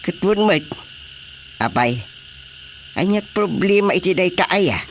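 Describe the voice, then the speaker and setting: A man's voice: sits high, animated, a little slow, slight background noise. A man playing an inquisitive, helpful village official in an Ilocano radio drama comedy. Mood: curious. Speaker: barangay official.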